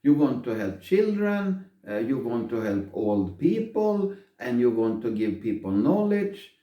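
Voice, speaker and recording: A man's voice: medium pitch, very animated, measured pace, highs cut off. A man interviewing a guest, asking stumbling, informal questions. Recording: roomy, distant from mic.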